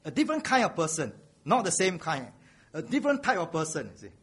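A man delivers a sermon in a fairly high voice. He is earnest and keeps coming back to a refrain, often pushing his voice up for emphasis. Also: huge pitch swings, quick pace, fairly bright tone, slightly rough. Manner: earnest, repeats a refrain, builds to raised emphasis. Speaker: preacher.

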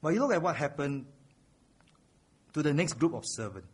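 Earnest preacher with a lilt. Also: male voice, fairly high pitch, sing-song, normal speed, husky. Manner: earnest, repeats a refrain, builds to raised emphasis. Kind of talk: sermon.

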